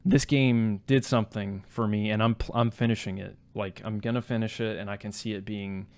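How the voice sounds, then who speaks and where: A man's voice: fairly low pitch, steady tone, a little fast, darkish tone. A man co-hosting a podcast, chatting informally about a game and peppering his opinions with "like". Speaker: podcast co-host. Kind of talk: casual video game review discussion.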